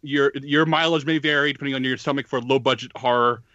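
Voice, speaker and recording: Bright-voiced man reviewing films, muffled audio. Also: medium pitch, fairly even intonation, fast talker, no echo. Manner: rambling, chuckling film reviewer. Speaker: podcast co-host reviewing films. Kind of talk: casual chat.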